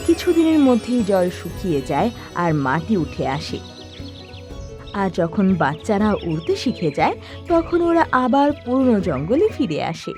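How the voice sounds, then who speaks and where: A woman narrating an animated fable for children as a scripted storyteller. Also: medium pitch, a little slow, faint music behind.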